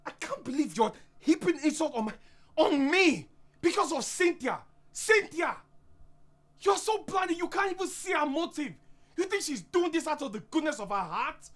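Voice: mid-range voice, lively intonation, brisk, few pauses, pushed and bright. An actor playing a proud, defensive, bitter husband in a screen drama, with a sarcastic, self-justifying way of talking. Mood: indignant. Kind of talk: naturalistic screen drama acting.

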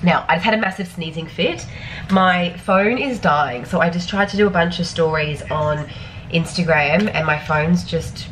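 Sniffly Australian mum, low voice. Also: female voice, moderate pace, a bit bright, a little hiss or hum. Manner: chatty, rambling, frequent ums. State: sniffly, losing her voice. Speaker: vlogger. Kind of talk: casual grocery haul vlog. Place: home kitchen, indoors.

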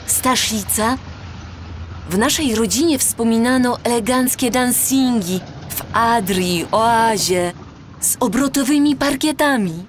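A woman's voice: a little high, slight background noise. An actress playing a tender young woman full of wonder in an audio drama, speaking in a wistful, marvelling way. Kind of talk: Polish audio drama dialogue.

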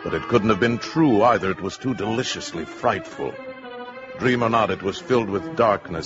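A man's voice: deep voice, lightly acted. A man with an American accent narrating a 1950s science fiction radio drama in a dramatic, suspenseful way. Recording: muffled 1950s radio recording, music behind.

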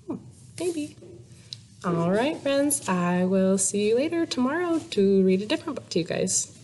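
A woman in her thirties, a teacher, reading a picture book aloud to young children in a sing-song, deliberate way with exaggerated emphasis. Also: medium pitch, animated, a little mellow, a little hiss or hum. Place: small classroom, indoors.

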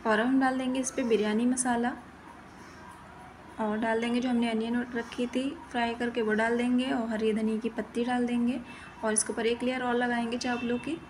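A woman's voice: on the high side, flat delivery, normal speed, darkish tone, slight background noise. A woman giving plain-spoken, step-by-step instructions in a recipe voice-over as host of a cooking channel.

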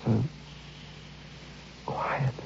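An actor playing a genteel, hesitant male writer in an old radio drama, courteous and well-spoken. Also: very slow. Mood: uneasy. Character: genteel, anxious male writer lodging away from home. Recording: muffled old radio recording, faint noise.

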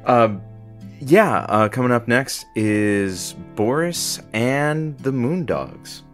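Very deep-voiced, teasing female radio producer. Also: expressive, slow, faint music behind, heavily acted. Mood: dry teasing. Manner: sardonic, teasing. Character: teasing, irreverent radio producer sidekick named Frank. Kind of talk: audio drama banter.

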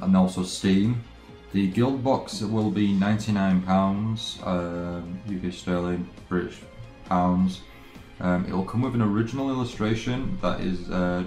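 A low-voiced guy hesitantly chatting to his stream viewers from an echoey room, game music playing behind him. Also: a little mellow. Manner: halting, hesitant delivery. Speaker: let's play streamer. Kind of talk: casual live stream chat. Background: game background music.

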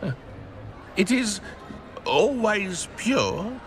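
A man voicing a servile, nervous old house elf in a video game, speaking haltingly and obsequiously. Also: high-pitched, big pitch swings, slight background noise, heavily acted. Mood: deferential, hesitant. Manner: obsequious, halting, speaks of himself in third person. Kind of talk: video game character acting.

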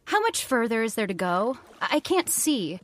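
A woman's voice: fairly high pitch, very animated, moderate pace. A woman playing an anxious, insistent young woman in an old-time radio horror drama, pleading and questioning dramatically. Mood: confused, indignant.

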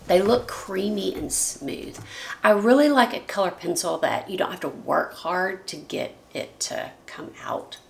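A woman's voice: mid-range voice, measured pace. Scratchy-voiced woman chatting about art supplies. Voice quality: scratchy, gravelly voice. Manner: chatty, self-correcting, drifts into soft asides. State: hoarse from allergies. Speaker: art vlogger. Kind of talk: casual chat showing art supplies. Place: home art studio, indoors. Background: faint room noise, dog scratching.

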